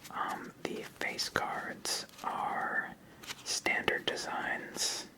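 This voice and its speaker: A person of unclear sex giving a whispered ASMR tutorial as host, explaining step by step in a soft, unhurried manner. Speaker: host of a card game channel.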